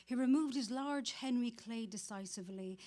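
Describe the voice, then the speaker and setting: A woman's voice: a little high, normal speed, dark tone. A woman narrating a novel aloud as part of a staged dramatic reading.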